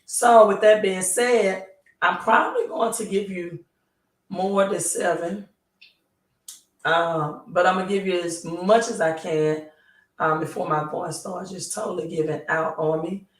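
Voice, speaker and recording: A hoarse woman hosting a live stream, talking slowly and pausing often to ask "right?". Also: on the low side. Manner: slow, halting, repeats "right?". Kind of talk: casual live stream talk. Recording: roomy, distant mic.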